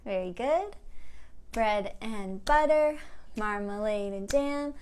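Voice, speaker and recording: A woman's voice: medium pitch, lively intonation, slow pace, darkish tone, smooth. A woman hosting a storytime for young children, speaking in simple encouraging phrases and sing-song reading. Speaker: children's storytime host at a library. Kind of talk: children's storytime with songs and picture-book read-aloud.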